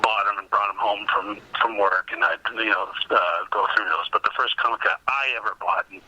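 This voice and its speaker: A man's voice: fairly low pitch, brisk. A man in his fifties from Massachusetts, a podcast guest chatting casually about his past over the phone. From Massachusetts, United States. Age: 50s. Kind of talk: casual reminiscing. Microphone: phone call. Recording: dull, sharp-edged phone line.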